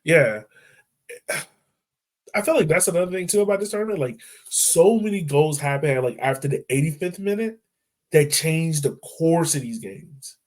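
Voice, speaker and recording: A man hosting a casual football podcast, talking in a relaxed, chatty way and laughing easily. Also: a little high, moderate pace. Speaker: podcast host. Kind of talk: casual sports chat.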